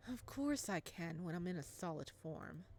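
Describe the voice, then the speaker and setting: Shy young male ghost from a visual novel, quiet and halting, as a woman reads him; a touch lower and softer than her usual voice. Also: animated. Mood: hesitant. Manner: quiet, hesitant, polite.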